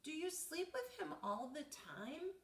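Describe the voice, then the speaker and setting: A woman's voice: high voice, very animated, measured pace, a bit bright, voice pushed up, full character voice. A woman voicing an eager, pestering young boy at a sleepover in a storybook, with insistent, exaggerated questions. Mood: insistent, curious. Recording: roomy echo, distant mic.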